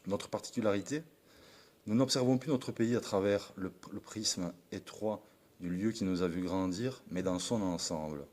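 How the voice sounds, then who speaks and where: A man's voice: low voice, moderate pace, a little mellow. A man from France reading a formal, earnest political message of support, with a declamatory tone and the odd slip of the tongue. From France (Perpignan). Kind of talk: read political address.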